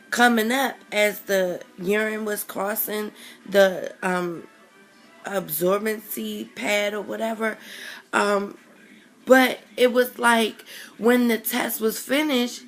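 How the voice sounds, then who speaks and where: A young woman vlogging casually with a raspy voice from a chest cold, rambling with many ums and self-corrections. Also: mid-range voice. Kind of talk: casual chatty vlog.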